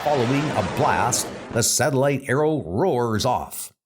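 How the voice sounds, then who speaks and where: A man narrating a comic book story in a deliberate, theatrical scripted style. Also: medium pitch, lively intonation, noisy background. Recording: loud music under the voice.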